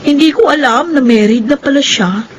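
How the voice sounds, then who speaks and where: A woman with a Filipino accent playing a young single mother, soft-spoken and teasing, in a radio drama. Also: high voice, moderate pace, dull audio, a little hiss or hum, close to an everyday voice. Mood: teasing, frank. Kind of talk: Tagalog radio drama acting.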